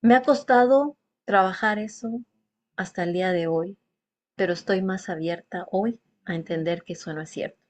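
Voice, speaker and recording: A woman telling her personal life story to an audience in a reflective, halting way, often pausing mid-phrase. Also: mid-range voice. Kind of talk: confessional monologue to an audience.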